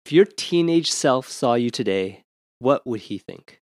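A man hosting an interview podcast, firing off quick questions in an upbeat way and laughing easily. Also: mid-range voice, animated, darkish tone, no echo, studio quality. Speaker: podcast host. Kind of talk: interview podcast with a rapid-fire question round.

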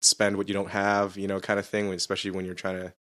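A man who works as a pastor, answering interview questions in a relaxed, self-deprecating way and often thinking aloud as he goes. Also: low voice, quick pace. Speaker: pastor, podcast guest.

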